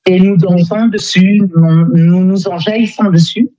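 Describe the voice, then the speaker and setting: A woman's voice: fairly low pitch, steady tone, a little slow, dark tone, no room sound, low-quality recording. A woman speaking as a guest in a conversational radio interview, hesitantly searching for her words. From Democratic Republic of the Congo. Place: radio studio.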